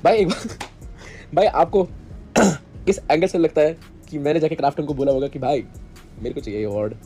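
A young man speaks in a fairly high, mellow voice. He sounds sarcastic and defensive. Faint music plays in the background. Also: normal speed. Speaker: esports player. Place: indoor room.

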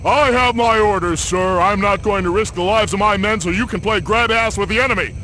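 A man's voice: high voice, fairly quick, pushed and bright, slight background noise, poor audio. A man voicing a hard-nosed, officious army commander with clipped delivery in a video game. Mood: stern. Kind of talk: video game cutscene acting.

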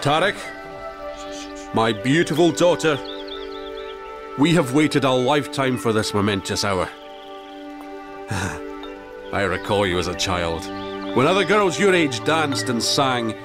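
A man playing a proud, fatherly elder in a fantasy audio drama, speaking with earnest ceremony. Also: medium pitch.

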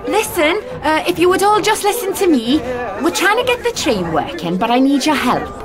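An actress playing a practical, down-to-earth young scavenger in an audio drama, informal and matter-of-fact. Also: female voice, high-pitched, very animated, moderate pace, music in the background. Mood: harried, coaxing.

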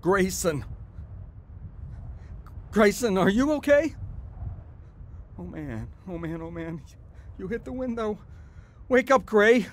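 A man's voice: high-pitched, very animated, slow pace, pauses often, heavily acted. A man with an American accent acting a proud, cynical, stubborn older brother in a first-person audio drama. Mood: panicked, pleading. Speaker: actor narrating and playing the lead.

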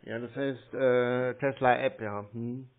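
A man in a casual phone conversation, with a middling pitch. He answers bluntly and dismissively, in short replies. The sound is narrow and tinny, as over a phone line. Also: flat delivery, takes it slowly. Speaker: scam-baiter posing as a customer on a call.

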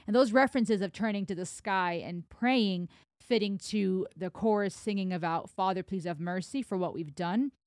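Give me an animated German-born woman in her thirties doing a music reaction, dry close mic. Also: medium pitch, moderate pace, dry recording. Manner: animated, gestures while talking, reads lyrics aloud. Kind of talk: casual music reaction commentary. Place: home studio room. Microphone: broadcast mic on boom arm, headphones.

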